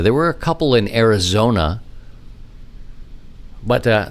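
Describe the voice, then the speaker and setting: A middle-aged man hosting a hobby podcast alone, talking in a casual, rambling, conversational way with animated asides. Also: fairly low pitch. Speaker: amateur radio podcast host. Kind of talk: casual solo podcast monologue.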